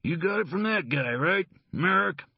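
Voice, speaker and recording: A man's voice: sits high, animated, normal speed, vocal fry, slightly rough, dry recording, voice pushed up, full character voice. A man voicing a belligerent, menacing middle-aged thug who snarls, curses and mocks. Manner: snarling, cursing, mocking laughs. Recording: dull, dry lo-fi audio.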